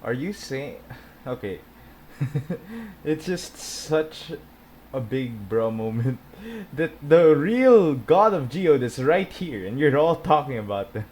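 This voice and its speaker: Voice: medium pitch, big pitch swings, takes it slowly, a little mellow, slight background noise. A streamer giving casual commentary while reacting to a video game.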